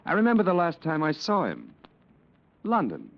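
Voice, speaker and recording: A man's voice: a little high, wildly swooping pitch, moderate pace, gravelly, exaggerated voice. An actor playing a cynical schemer in a 1940s radio mystery, talking in dry, sarcastic, clipped tough-guy phrases. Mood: falsely cheerful. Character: Norman, cynical, sardonic noir schemer. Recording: muffled old radio broadcast, organ music behind.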